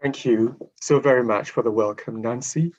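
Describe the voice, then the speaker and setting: A man from Ghana giving a prepared online lecture in measured, formal phrases with pauses. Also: medium pitch, darkish tone. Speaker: guest speaker, psychotherapist. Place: online video call. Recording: dull, lo-fi video call audio.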